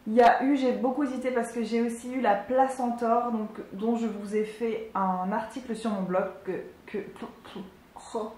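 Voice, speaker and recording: A woman's voice: fairly high pitch, fairly even intonation, normal speed, mellow, echoey room, a little hiss or hum. A woman in her twenties chatting casually and enthusiastically as a beauty vlogger, with lots of fillers. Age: 20s. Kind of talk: casual product review.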